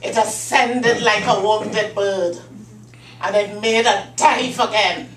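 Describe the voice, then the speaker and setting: Slow, dramatic woman telling a story. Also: mid-range voice, steady tone, crowd noise. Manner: deliberate dramatic storytelling with pauses. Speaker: Toastmasters club speaker. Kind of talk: prepared humorous speech. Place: meeting room. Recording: echoey room, distant mic, faint hum.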